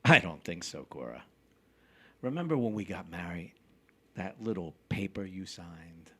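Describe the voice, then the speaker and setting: A man playing a crafty, domineering husband in a crime drama, loud, wheedling and blustery. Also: sits low, animated, a little slow, husky, full character voice. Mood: smug, cold. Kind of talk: theatrical crime drama acting.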